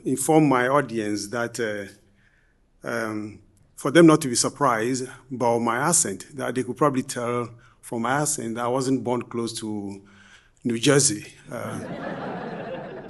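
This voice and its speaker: A man from Ghana speaks at a history conference with a voice of middling pitch. He delivers his lecture in a measured way and often pauses on "uh" and "um". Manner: measured, with frequent uh and um fillers. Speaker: guest speaker. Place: conference hall.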